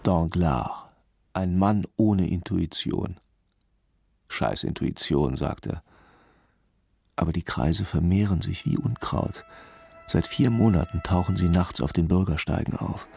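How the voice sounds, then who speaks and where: A pensive police commissioner in a radio crime play. His voice is low and gravelly, and he measures out each phrase very slowly. Music plays behind him. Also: warm tone, exaggerated voice. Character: slow, brooding, intuitive male police commissioner. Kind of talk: radio crime drama, reflective narration and dialogue.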